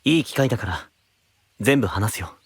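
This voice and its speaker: Breathy, thoughtful young knight. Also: male voice, fairly low pitch, animated, no room sound, full character voice. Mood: guarded, gentle. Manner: soft, measured, reflective explaining. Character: calm, thoughtful young male knight, secretive but gentle. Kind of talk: anime-style voice drama acting.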